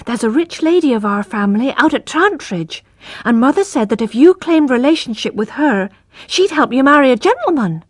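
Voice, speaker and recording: A woman voicing an eager, curious young boy who asks high, excited questions. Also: normal speed, no room sound.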